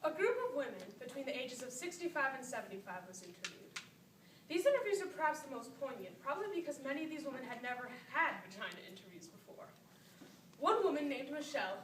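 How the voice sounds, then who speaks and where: A woman performing scripted narration live on stage as part of a theatre piece. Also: high-pitched. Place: stage in a hall. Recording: noisy, echoey, distant live theatre recording.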